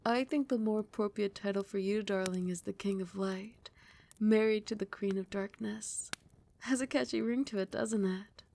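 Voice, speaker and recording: A woman voicing a loving, protective vampire queen in an intimate ASMR roleplay, breathy and often sinking into a whisper, soothing and doting. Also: mid-range voice, steady tone, normal speed, dark tone, a little hiss or hum, lightly acted. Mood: fond, playful. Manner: doting, soothing, affectionate pet names.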